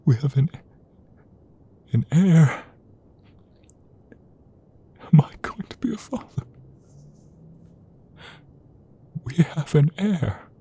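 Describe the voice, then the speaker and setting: A man playing a tender, devoted king in a romantic ASMR audio roleplay, speaking intimately with a soft, breathy voice. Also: a little high, expressive, full character voice. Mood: overjoyed. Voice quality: breathy, soft, often whispered. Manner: slow, intimate, emotive delivery. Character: tender, devoted young king in love with his queen.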